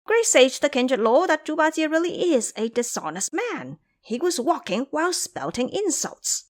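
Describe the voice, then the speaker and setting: Woman voicing an eager, high-pitched little monkey. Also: sing-song, normal speed. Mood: urgent tattling. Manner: deferential, loud calling. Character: small, eager, servile monkey underlings.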